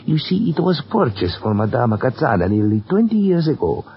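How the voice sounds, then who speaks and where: An actor playing a fawning, sinister old prop keeper in a 1940s radio drama, with a gravelly, halting, theatrical delivery. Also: male voice, medium pitch, very animated. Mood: sly, conspiratorial. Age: older. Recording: muffled old radio broadcast, faint music.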